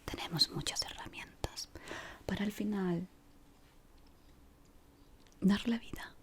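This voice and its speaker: Woman doing asmr roleplay as a fussy polite decorator, breathy whisper, really slow. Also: medium pitch. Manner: slow, deliberate, pausing, softly spoken. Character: polite but exacting decorator of an eerie office maze.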